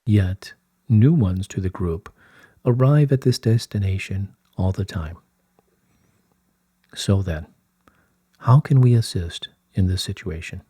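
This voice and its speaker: Man reading as slow, solemn being of light. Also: deep voice, warm tone, breathy edge, no echo, heavily acted. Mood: patient guidance. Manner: formal, measured, solemn phrasing. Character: serene, wise collective of light beings, male voice. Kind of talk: scripted channelled dialogue read aloud.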